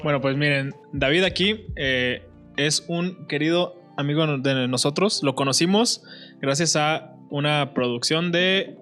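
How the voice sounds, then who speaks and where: A man's voice: fairly high pitch, unhurried, a bit bright, soft background music. A man with a Mexican accent co-hosting a podcast, chatting casually in a joking, slangy way. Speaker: podcast co-host. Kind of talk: casual chat.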